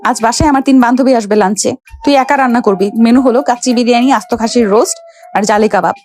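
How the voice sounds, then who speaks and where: Haughty young boss woman played by an actress, high voice, scolding, imperious, audio drama, music underneath. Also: fast talker, a little mellow, lightly acted. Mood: mocking, bossy. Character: haughty, cruel young rich woman boss berating her servant. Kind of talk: Bengali audio story acting.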